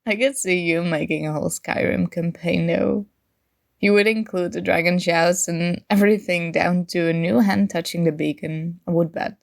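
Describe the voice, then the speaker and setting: A woman's voice: fairly low pitch, moderate pace, creaky. A woman playing a playful, nerdy young gamer chatting with her crush in a casual, teasing ASMR roleplay. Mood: teasing, mock indignant. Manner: teasing, animated, conversational. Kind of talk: ASMR roleplay acting, casual conversational chat.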